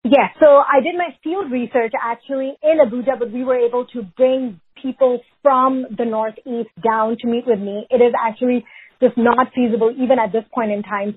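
A woman from Pakistan, a researcher answering interview questions in a measured way, often hedging with "sort of" and "if you will". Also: a little high, breathy edge. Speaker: researcher and guest. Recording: phone-like remote line.

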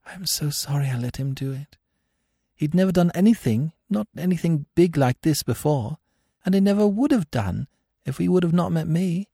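A man voicing a cynical, world-weary young woman thief in an audiobook story, with a cool, slow delivery. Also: on the low side, expressive, dark tone, husky, no room sound, clean studio sound, heavily acted. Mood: tearful, pleading.

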